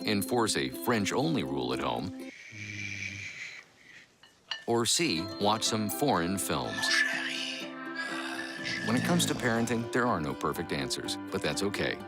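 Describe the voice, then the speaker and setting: A man's voice: deep voice, creaky. A man reading scripted commercial narration as an announcer. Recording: loud music behind the voice.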